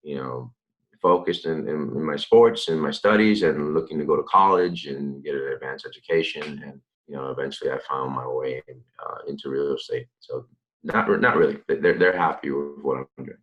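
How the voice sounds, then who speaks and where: A man in his thirties from the Dominican Republic speaks in an extremely low voice that still has a bright, forceful edge. He answers questions loosely and at length, with plenty of fillers and restarts. The sound is dull and lo-fi, like a live stream. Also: moderate pace. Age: 30s. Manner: relaxed, rambling, many fillers and restarts. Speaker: interview guest, real estate developer. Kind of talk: conversational interview answers.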